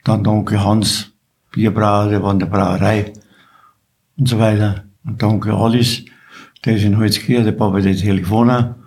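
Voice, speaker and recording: A man's voice: on the low side, little pitch movement, normal speed, a little mellow. A man in his eighties with a Bavarian accent, casually reminiscing as a podcast guest. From Bavaria, Germany. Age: 80s. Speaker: podcast guest, local veteran.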